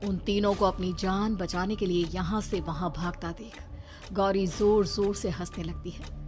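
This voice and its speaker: A woman giving a dramatic scripted narration of an animated horror story. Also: on the low side, a little slow, loud music behind the voice.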